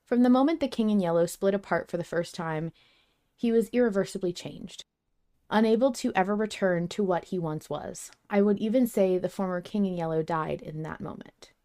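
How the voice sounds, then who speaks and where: A woman's voice: medium pitch, moderate pace, warm tone. A woman co-hosting a podcast, chatting casually and reading listener mail aloud. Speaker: podcast host.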